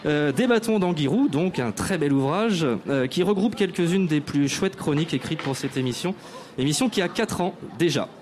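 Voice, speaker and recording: A man's voice: a little high, animated, normal speed, a little mellow, a little hiss or hum. A man hosting a live radio show on an outdoor stage, introducing guests in a formal, playfully grand way with frequent hesitations. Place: outdoor stage at an arts venue with audience.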